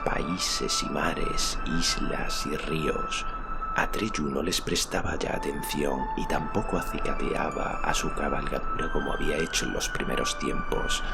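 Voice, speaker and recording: Un hombre narra un relato fantástico con fraseo pausado, solemne y dramático, como en un audiolibro. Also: male voice, very deep voice. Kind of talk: dramatic scripted narration of a fantasy story. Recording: clear ambient noise behind.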